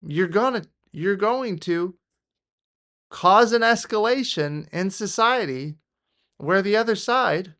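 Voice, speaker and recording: An American man giving off-the-cuff political commentary in a halting, repetitive, exasperated way. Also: high-pitched, lively intonation, a bit bright.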